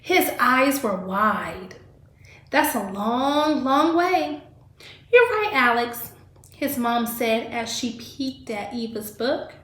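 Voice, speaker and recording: A woman teacher reading a story aloud to children expressively, with direct teaching asides. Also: fairly high pitch. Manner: deliberate, animated storybook delivery, addressing children directly. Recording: echoey room.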